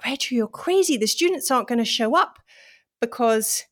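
A woman's voice: a little high, animated, normal speed, a bit bright. A woman lecturer who reads a passage aloud, then answers interview questions in a thoughtful, hesitant way with many fillers and restarts. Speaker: university lecturer, interview guest.

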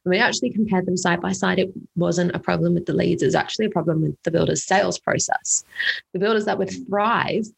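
Husky, creaky young woman with an Australian accent. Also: fairly low pitch, steady tone, fast talker, low-quality recording. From England. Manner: chatty, filler-heavy, repeats words. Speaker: company co-founder, guest. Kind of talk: casual interview storytelling.

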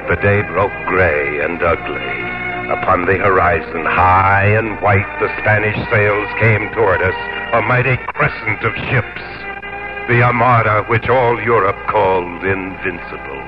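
Earnest, deep-voiced English sea captain. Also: male voice. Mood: solemn narration. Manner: earnest, dramatic, measured delivery. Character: brave, earnest English sea captain telling his story. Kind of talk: old-time radio drama acting and narration. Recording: muffled 1950s radio with loud music.

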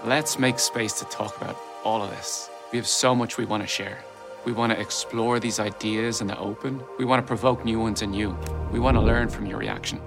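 A man with a low voice and heavy vocal fry narrates a promotional trailer. He speaks in deliberate, declarative statements over a clear music bed. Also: fairly even intonation. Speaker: company leader.

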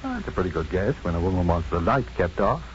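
A muffled old radio broadcast carries a low, very expressive male voice. an assured, kindly physician with dry wit. He phrases things deliberately in classic fifties radio drama style. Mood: gentle, careful. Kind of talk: 1950s radio drama acting. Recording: muffled, faintly noisy 1950s radio broadcast.

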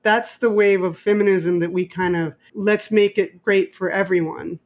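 A woman's voice: medium pitch, a little mellow. A middle-aged woman with an American accent, talking conversationally and emphatically, telling stories and giving opinions. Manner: emphatic, opinionated, quotes others in dialogue. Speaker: independent filmmaker and interviewee. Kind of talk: animated conversational storytelling.

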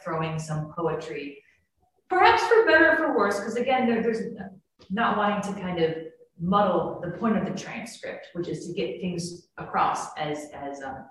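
A woman poet on a discussion panel, talking thoughtfully and searching for her words. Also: on the low side. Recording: echoey room, faint noise.

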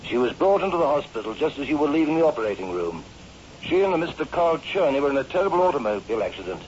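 An actor playing an anxious, deferential hospital staffer reporting urgently in a 1960s radio drama. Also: male voice, a little high, sing-song, fast, darkish tone. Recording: muffled old radio recording, faint noise.

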